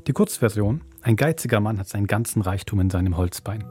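Man, Swiss German accent, slightly deep, podcast presenting, music bed. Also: quick pace. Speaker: podcast host. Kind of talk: presenting credits, reading listener stories, chatting. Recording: loud music under the voice.

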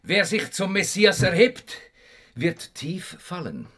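A stern village pastor in a radio drama, with a fairly high voice that rises and falls widely. He admonishes with pious, offended solemnity. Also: huge pitch swings, takes it slowly, a bit bright, slightly rough, exaggerated voice. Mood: admonishing. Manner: solemn, reproachful. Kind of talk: radio play acting.

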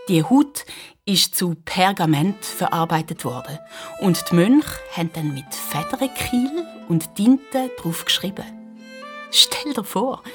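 A woman's voice: mid-range voice, natural voice. A woman narrating a children's radio play with a Swiss German accent, explaining things like an engaging storyteller.

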